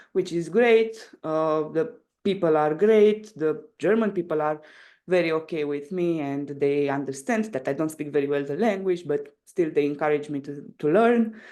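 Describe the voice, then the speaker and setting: A woman's voice: deep voice, mellow. A woman in her twenties speaks with a Romanian accent as a podcast guest. Her delivery is casual and conversational, with a hesitant way of building sentences as she looks for the right words in a second language. Her native language is Romanian. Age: 20s. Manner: hesitant, searching for words in non-native English. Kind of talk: casual interview answers.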